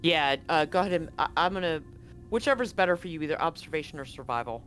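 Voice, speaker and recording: A man narrating a tabletop horror game as game master, in a relaxed, descriptive way. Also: a little high, quick pace, soft background music.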